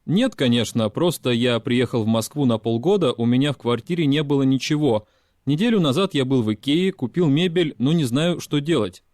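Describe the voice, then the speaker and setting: A man voicing a foreign learner in a scripted lesson dialogue, speaking in a polite, measured way. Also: mid-range voice, animated, a little mellow, no echo, clean studio sound, close to an everyday voice. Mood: plain. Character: male foreign learner Carl, adult.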